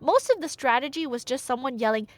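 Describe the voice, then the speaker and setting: Young woman, high voice, swooping intonation, casual theatrical storytelling, emphatic, dry wit. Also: lively intonation, dry recording. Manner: chatty, theatrical, emphatic, with dry humor and dramatic pauses. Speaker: audio storyteller playing a nerdy friend. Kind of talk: casual dramatic retelling of myth, as ASMR roleplay.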